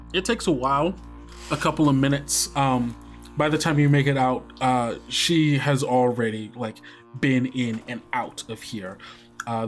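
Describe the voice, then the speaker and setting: Slow-paced man narrating a tabletop game. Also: mid-range voice. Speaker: game master. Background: guitar music under the voice.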